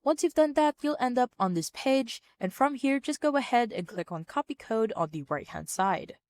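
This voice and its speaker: A woman narrating a step-by-step software tutorial in an orderly, plain-spoken, instructional way. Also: a little high, animated, moderate pace, no room sound. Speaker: tutorial presenter.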